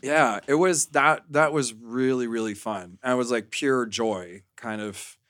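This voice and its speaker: A man speaking as a podcast guest, telling candid stories in a casual, halting way with lots of "like". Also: mid-range voice, fairly bright tone.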